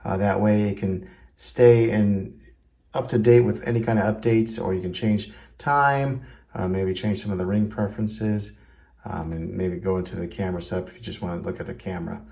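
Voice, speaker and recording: Low-voiced man in an echoey room. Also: steady tone, moderate pace, gravelly, a little mellow. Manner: plain-spoken, conversational, explaining step by step. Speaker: tech reviewer and presenter. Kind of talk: casual hands-on product review. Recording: echoey, roomy indoor sound.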